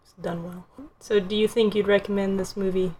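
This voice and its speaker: A woman's voice: medium pitch, level tone, brisk, warm tone, slight background noise. A woman hosting a casual movie review podcast, speaking informally and steering the conversation. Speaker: podcast host.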